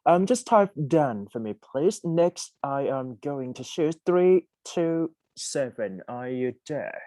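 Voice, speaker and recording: Woman instructor running mock interviews, very deep mellow voice, dry close sound, on a video call. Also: no echo. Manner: brisk, steady murmured acknowledgments. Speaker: English teacher running mock job interviews. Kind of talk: online class mock interview.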